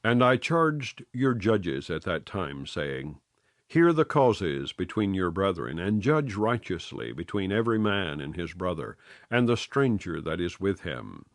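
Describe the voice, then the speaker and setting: A man reading scripture aloud in a measured, solemn way, phrase by phrase. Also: sits low, very animated, dry recording, clean studio sound. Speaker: Bible reader.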